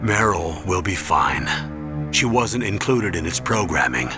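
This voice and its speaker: An actor playing an older military commander in a video game, solemn, measured and reassuring. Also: male voice, low-pitched, sing-song, music in the background, heavily acted.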